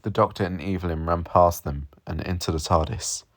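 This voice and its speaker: A man's voice: low-pitched, steady tone, a little fast, heavy background noise. A man reading scripted narration for a short science fiction audio story. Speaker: story reader.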